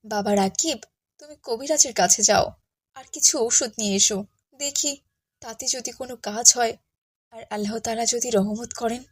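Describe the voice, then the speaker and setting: A woman narrating a cartoon tale and voicing a gentle, caring, pious village mother. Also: fairly high pitch, normal speed, slightly rough. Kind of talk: cartoon storytelling with character dialogue.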